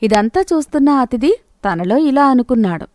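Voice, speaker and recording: A woman's voice: sits high, animated, moderate pace, a little mellow, dry recording, clean studio sound. A woman narrating a scripted moral story in a storybook style.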